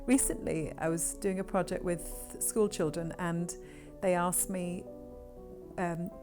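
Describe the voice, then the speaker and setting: A Welsh woman, a textile artist, talking thoughtfully and earnestly in a documentary interview, with pauses and restarts. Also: on the low side, steady tone, music in the background. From Wales.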